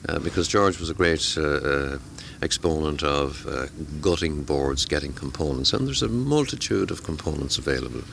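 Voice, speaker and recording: A man's voice: rumbling low, animated, normal speed, vocal fry, hardly any pauses, fairly bright tone, slight background noise. A middle-aged man who tutors amateur radio classes, talking at a convention in a hesitant way, with many fillers and repeated words. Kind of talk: conversational interview.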